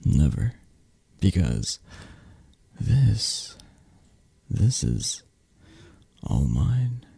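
A man acts out a possessive, flirty mafia boss in an intimate ASMR roleplay. His voice is very dark and mellow, breathy and husky, with a gravelly creak. He murmurs soft reassurance to his lover, doting and protective. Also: low-pitched, sing-song, drawn-out words, long pauses, no echo, exaggerated voice.

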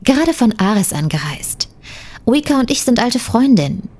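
A woman as husky, polite young visitor. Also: fairly high pitch, sing-song, normal speed, darkish tone. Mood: polite, friendly. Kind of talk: science fiction audio drama acting.

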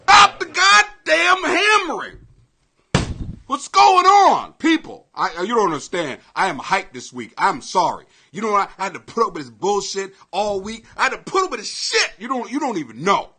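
High-pitched, forceful American comedian, a man. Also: moderate pace, husky. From Greenwich, Connecticut, United States. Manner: brash, profane, excitable, often shouting. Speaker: comedian and podcast host. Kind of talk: ranting comedy podcast monologue.

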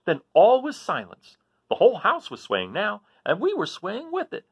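A man's voice: high-pitched, huge pitch swings, moderate pace, husky, highs cut off, pitched above the performer's own voice, full character voice. A man voicing a folksy little dog who narrates a scary adventure in rural American dialect, as in a children's read-aloud. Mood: breathless, scared.

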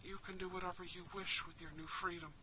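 A woman's voice: lowish voice, fast talker, slight background noise, voice pushed down. A woman voicing a kind, protective young prince in an intimate roleplay, tender and dramatic. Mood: gentle, reassuring. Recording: steady rain and fireplace ambience.

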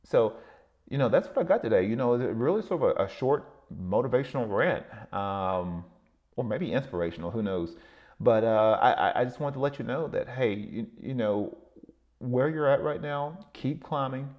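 A man's voice: mid-range voice, animated, normal speed, highs cut off. A man hosting a podcast, giving a solo motivational talk in a rambling way, with many fillers and repeated words. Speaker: podcast host.

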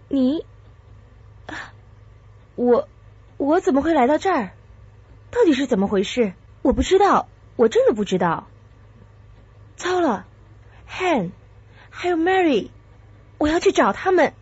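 An actress playing a secretive, troubled but tender young woman in a radio drama, with a husky, breathy voice. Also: high-pitched, big pitch swings, takes it slowly, pauses often, a little mellow, no room sound, heavily acted. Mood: confused, urgent. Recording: dry, slightly dull studio sound.

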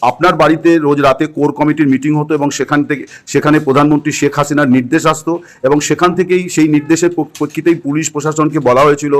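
A man's voice: fairly high pitch, steady tone, fast. A man conducting a news interview by phone, asking in a probing, pressing manner.

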